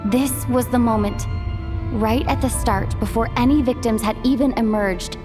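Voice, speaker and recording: Woman as high-voiced, earnest young sleuth. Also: music in the background, natural voice. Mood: determined, reasoning. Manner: deliberate, reasoning step by step. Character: earnest young female sleuth, thoughtful and determined. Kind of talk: dramatic game dub acting.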